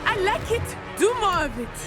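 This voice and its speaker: An eager, bold teenage witch from a video game. Her high voice is hugely animated, with music playing behind it. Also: moderate pace. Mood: urgent, spirited.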